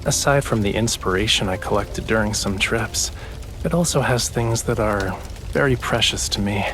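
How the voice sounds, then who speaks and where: Man acting tender young romantic game hero, lowish voice, soft and intimate, teasing, music behind. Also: normal speed, lightly acted.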